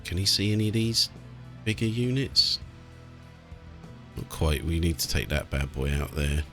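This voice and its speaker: A man's voice: deep voice, expressive, normal speed, sharp tone. A British man giving casual, chatty let's play commentary on a strategy game, thinking aloud as he goes. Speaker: gaming channel host. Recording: game music and battle sounds behind. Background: video game music, artillery and vehicle sounds.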